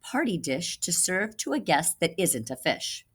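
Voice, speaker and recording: A woman's voice: lowish voice, very animated, measured pace, slightly rough, exaggerated voice. A woman voicing a confident, know-it-all town cook from a storybook, in emphatic sing-song rhyme.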